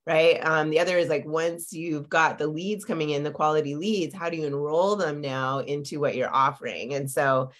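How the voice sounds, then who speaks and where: A woman's voice: deep voice, fairly even intonation, normal speed, hardly any pauses. A woman in her forties, a business coach, talking live over a video call from her home office, a little congested with a lingering cough, chatty and full of fillers and tag questions. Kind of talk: live-streamed coaching talk. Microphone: video call webcam.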